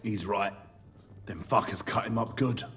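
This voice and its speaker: An actor playing a weary, grumbling castle guard in a video game. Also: male voice, on the low side, measured pace, a bit bright.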